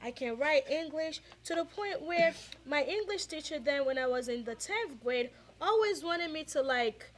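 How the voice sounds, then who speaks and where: A woman's voice: high voice, normal speed, hardly any pauses. A Nigerian woman with a Nigerian accent, a Yoruba speaker co-hosting a casual discussion and talking animatedly with many restarts. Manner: animated, lots of restarts and quoted voices. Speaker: co-host.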